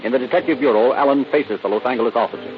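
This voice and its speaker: A man's voice: mid-range voice, rapid-fire. A man narrating an old radio crime drama in a dramatic scripted style. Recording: muffled old radio broadcast. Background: music.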